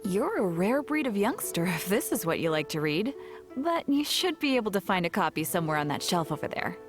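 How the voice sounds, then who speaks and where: A woman's voice: mid-range voice, very animated, brisk, soft background music, close to an everyday voice. A woman playing a courteous, chatty bookshop keeper in a video game, polite with a wry edge. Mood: surprised.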